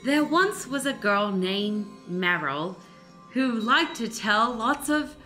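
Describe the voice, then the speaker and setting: A woman's voice: on the high side, big pitch swings, takes it slowly, bright, forceful tone, full character voice. A woman playing a naive, downtrodden young dancer, pleading and plaintive, in an improvised comic radio play. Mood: hesitant. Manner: plaintive, whiny, hesitant. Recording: music under the voice.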